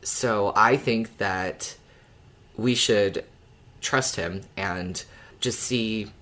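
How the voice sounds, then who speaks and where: A woman's voice: extremely low pitch, very slow, a bit bright. Thoughtful woman co-hosting a podcast. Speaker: podcast co-host. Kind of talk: casual podcast discussion.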